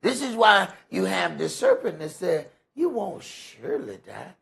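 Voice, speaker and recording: Male Bible teacher, heavy vocal fry and rough husky tone, emphatic, folksy sermon delivery. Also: sits high, wildly swooping pitch, measured pace, fairly bright tone. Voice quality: gravelly, husky. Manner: emphatic, rhetorical questions, folksy phrasing. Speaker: preacher leading a Bible study. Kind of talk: conversational sermon with scripture commentary.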